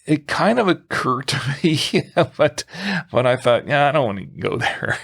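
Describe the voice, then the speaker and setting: A man hosts a conversational podcast in a medium-pitched voice. His delivery halts and pauses often as he moves through listener mail and show business. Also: very animated, gravelly, a bit bright. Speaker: podcast co-host.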